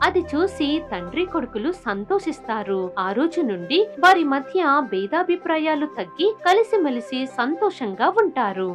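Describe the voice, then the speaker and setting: A woman's voice: high-pitched, very animated, normal speed, few pauses, over music. A woman narrating a scripted moral story.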